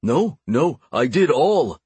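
A man's voice: medium pitch, measured pace, slightly rough, no room sound. A man playing an earnest, big-hearted young orc mage in an audiobook, with a pleading manner. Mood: hasty, playing dumb. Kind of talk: audiobook character voice.

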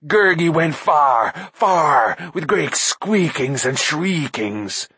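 Storybook creature: high, whining, groveling shaggy beast, breathy. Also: male voice, expressive, takes it slowly, fairly bright tone, no room sound, full character voice. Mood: groveling whine. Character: whimpering shaggy male forest creature, groveling.

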